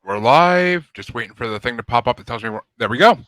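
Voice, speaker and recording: A man's voice: medium pitch, animated, fast talker, fairly bright tone, slight background noise. A man hosting a live paranormal podcast, easygoing and chatty as he welcomes his guest. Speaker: podcast host.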